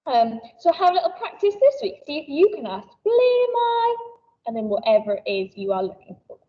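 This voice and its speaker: A woman's voice: on the high side, big pitch swings, quick pace, mellow. A woman teacher giving a recorded school assembly, cheerful and encouraging as she talks to children. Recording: dull lo-fi sound, a bit distant.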